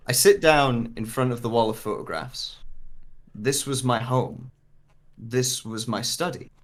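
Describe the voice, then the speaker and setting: A man's voice: mid-range voice, measured pace, natural voice. An actor playing a bewildered searcher with lost memory, narrating in the first person as he reads a dramatic script. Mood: puzzled.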